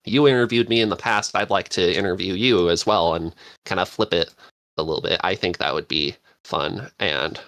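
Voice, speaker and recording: A man's voice: deep voice, moderate pace, fairly bright tone, no room sound. A man hosting a podcast in casual, unscripted chat, rambling along with enthusiasm. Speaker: podcast host and interviewer.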